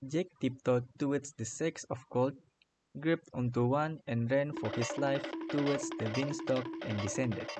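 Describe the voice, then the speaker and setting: A man's voice: medium pitch, steady tone, a little slow, music in the background. A man narrating a scripted fairy tale for a radio drama.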